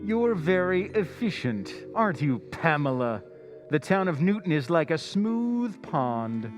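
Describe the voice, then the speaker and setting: A man's voice: a little high, very animated, measured pace. An actor playing a sinister, all-knowing male narrator in a 1940s-style radio drama, speaking insinuatingly and straight to the listener. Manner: insinuating, addresses listener directly. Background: music.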